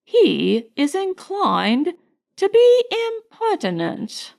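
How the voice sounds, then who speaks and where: Woman playing a slow, haughty elderly grande dame. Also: very high voice, wildly swooping pitch, gravelly, darkish tone, voice pushed up, exaggerated voice. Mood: haughty conviction. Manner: haughty, drawn-out, emphatic. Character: condescending elderly upper-class lady, severe and haughty.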